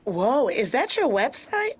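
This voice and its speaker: An actress in her twenties playing a sardonic, quick-witted young office worker in a scripted comedy, slangy and sarcastic. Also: on the high side, sing-song, slight background noise. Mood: teasing. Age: 20s. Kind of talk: scripted workplace comedy acting.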